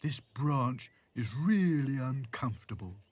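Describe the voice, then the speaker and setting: A gloomy storybook rag doll, played by a man reading aloud. He drags his words out in a slow, weary moan, full of complaint. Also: lowish voice, flat delivery, distant mic, a little hiss or hum. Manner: slow, drawn-out moaning. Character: gloomy, dawdling male rag doll who moans.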